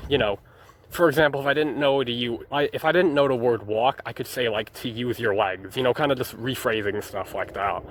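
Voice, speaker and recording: Young adult male vlogger, casual rambling delivery, mid pitch, faint background noise. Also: fairly quick. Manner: rambling, hesitant, many restarts and fillers. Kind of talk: rambling journal vlog.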